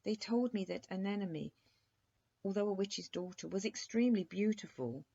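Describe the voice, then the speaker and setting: Lady reading as a polite resigned fairy tale prince, formal, pitched up, muffled recording. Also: moderate pace, gravelly, warm tone, slightly rough. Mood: defensive. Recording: dull lo-fi recording.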